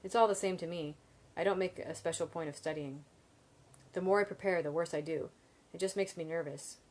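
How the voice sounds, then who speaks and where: A shy, generous young woman student with a soft, modest way of speaking, as a woman reading aloud voices her. The voice is low, much like the reader's own. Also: fairly quick, natural voice. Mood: gentle.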